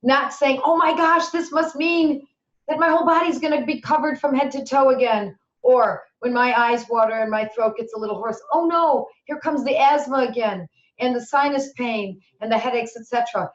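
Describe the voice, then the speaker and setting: A woman's voice: high voice, moderate pace. Chatty woman on echoey, dull webinar audio. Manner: chatty, animated, acts out quoted worries. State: slightly hoarse at times. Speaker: homeopathy instructor answering questions. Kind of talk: webinar Q&A answers.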